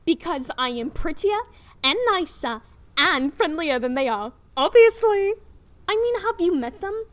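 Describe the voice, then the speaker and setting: A woman voicing a vain, bubbly, naive young dragon princess in an airy, girlish way for an animated fan dub. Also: very high voice, a little slow. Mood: smug, airy. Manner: airy, self-satisfied, girlish.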